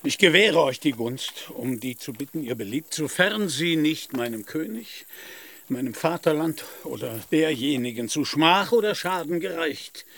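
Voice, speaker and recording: An actor playing a proud, deluded old knight-errant in a radio drama, speaking in lofty, courtly phrases. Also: male voice, fairly high pitch, lively intonation, moderate pace, creaky, a bit bright. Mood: solemn, gallant. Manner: lofty, archaic courtly speech.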